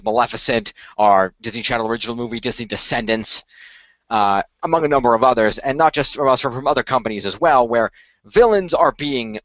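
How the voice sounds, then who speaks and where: A man improvising the part of an earnest company insider, explaining things in a measured, hesitant way as a game master. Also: medium pitch, fairly bright tone, no room sound, highs cut off. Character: earnest, measured company insider revealing a secret magical order. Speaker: game master playing a character. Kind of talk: improvised in-character exposition for a role-play story.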